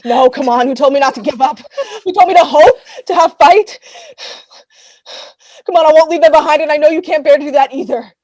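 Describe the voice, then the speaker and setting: An actress plays a stubborn, loyal young woman in stage drama who refuses to leave anyone behind. Her voice is high and close, with little room echo. She speaks urgently and insistently, pleading. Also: fairly quick, a little mellow, lightly acted. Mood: pleading, determined. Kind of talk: theatrical staged-reading dialogue.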